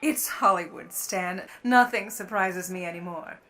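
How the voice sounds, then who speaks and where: A woman's voice: lowish voice, measured pace, fairly bright tone. A woman playing a poised, imperious and sly starlet in an old Hollywood radio play. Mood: poised, dismissive. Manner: poised, curt, arch.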